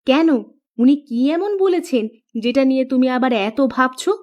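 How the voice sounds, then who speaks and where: A woman's voice: sits high, expressive, normal speed, a little mellow. A woman voicing a middle-aged village mother in a cartoon, fretful but practical and plain-spoken. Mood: questioning. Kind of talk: scripted Bengali cartoon dialogue.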